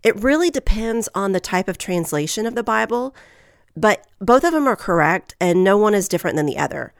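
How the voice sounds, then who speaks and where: Nasal, gravelly-voiced woman podcast host. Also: mid-range voice, lively intonation, a little fast, no echo, clean studio sound. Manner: earnest, encouraging, reads scripture aloud. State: getting over a head cold.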